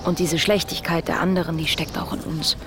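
Actress as young woman, earnest, insistent, reflective, radio drama dialogue, clear street ambience with traffic. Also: on the low side, steady tone, brisk, close to an everyday voice. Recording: loud outdoor street ambience.

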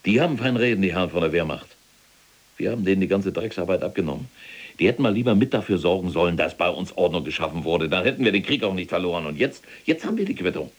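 A man's voice: low voice, animated, fast talker, husky. A man playing an imprisoned, fanatical older SS general in a German audio drama, pompous and lecturing in manner. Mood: bitter, ranting. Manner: pompous, lecturing, indignant when contradicted. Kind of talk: German audio drama acting, monologue and argument.

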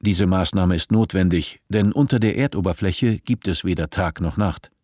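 A man's voice: low voice, normal speed, warm tone, no echo, clean studio sound. A man narrating a dystopian radio drama in German, sober and detached.

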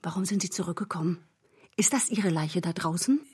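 A woman's voice: lowish voice, a little fast, darkish tone, husky. An actress playing a frightened but determined young woman in danger, speaking urgently and anxiously in a German audio drama. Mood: fearful, hushed.

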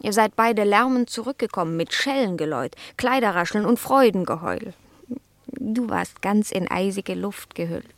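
An actress playing an indolent, fastidious pet cat who philosophizes in a languid, haughty radio-play manner, savoring the words. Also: female voice, mid-range voice, dry recording, natural voice. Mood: dreamy, self-satisfied. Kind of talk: German radio play acting.

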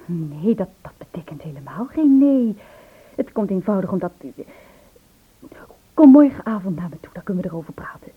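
An actress playing a soft, hesitant, evasive sweetheart in an old Dutch radio play. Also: female voice, mid-range voice, sing-song, normal speed, slightly rough. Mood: hesitant, coaxing. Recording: tinny phone-like old radio recording.

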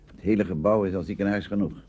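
An actor playing a genial, reassuring psychiatrist in a radio play. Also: male voice, sits low, fairly even intonation, fast talker, dark tone. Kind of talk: radio drama acting. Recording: dull old radio play recording.

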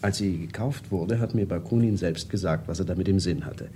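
A man's voice: deep voice, fairly quick, slight background noise, natural voice. An actor playing a worldly, amused, cynical bourgeois ex-revolutionary, telling his stories expansively and sardonically in a radio drama. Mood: confiding, ironic. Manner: sardonic, animated, expansive storyteller. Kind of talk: 1970s German radio drama acting.

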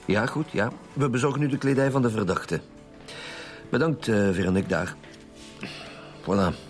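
A man's voice: mid-range voice, expressive, moderate pace, lightly acted. A stern Flemish police chief, acted by a man in a radio drama. He is blunt and dogged, questioning and giving orders with authority. Faint music runs behind him. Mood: businesslike. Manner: blunt, commanding, interrogating. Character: stern, dogged male chief inspector leading a homicide squad.

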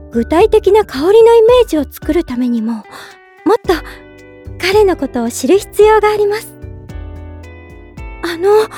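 A woman playing a gentle, polite young woman in love, narrating in character for a drama CD with sweet earnestness. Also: very high-pitched. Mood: thoughtful, earnest.

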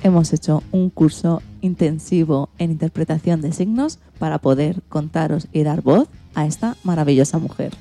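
Spanish woman presenting, mellow lowish voice. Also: moderate pace, few pauses, soft background music. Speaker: host. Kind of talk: scripted programme presentation.

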